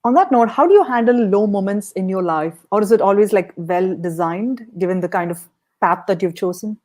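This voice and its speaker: A woman with a medium-pitched voice hosts a podcast interview. She speaks conversationally, putting questions to her guest in an encouraging, appreciative way. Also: a little fast, mellow.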